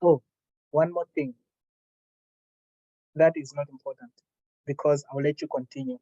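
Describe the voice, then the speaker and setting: A young man from Malawi opens a classroom workshop in a relaxed, chatty way. His voice sits fairly high for a man. The recording is dry and a little muffled. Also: measured pace, husky, dry recording. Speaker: workshop facilitator. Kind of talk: friendly classroom introduction.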